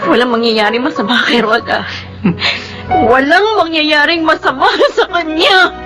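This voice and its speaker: A woman's voice: high voice, unhurried. A woman playing an emotional, devoted young best friend in a Filipino drama, with a Filipino accent and music behind her. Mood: anxious, reassuring. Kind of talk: Filipino radio-style drama acting.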